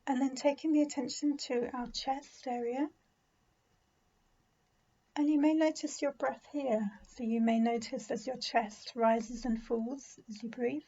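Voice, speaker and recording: A woman leading a guided meditation in a gentle, soothing, unhurried manner with pauses. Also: high voice, steady tone, dull audio. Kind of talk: guided body scan meditation.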